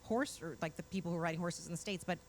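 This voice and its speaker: A woman's voice: fairly low pitch, rapid-fire, slight background noise. A woman from Mexico hosting a morning show, chatting with enthusiasm and explaining things in a bubbly, talkative way. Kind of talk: casual studio chat.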